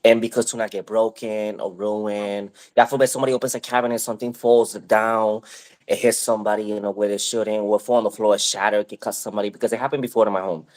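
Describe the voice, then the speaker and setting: Low-voiced man with a Spanish accent. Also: steady tone, fast, a little mellow. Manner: rambling, self-interrupting, candid. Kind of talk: casual chat.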